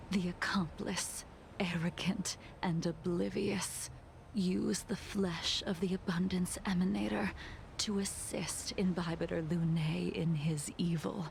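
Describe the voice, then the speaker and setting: A woman playing a grave, sorrowful swordswoman in a video game, speaking softly and formally in measured, breathy phrases. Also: medium pitch. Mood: cold, condemning. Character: solemn, sorrowful swordswoman, a centuries-old condemned sinner. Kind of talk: dramatic video game voice acting. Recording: music playing behind the voice.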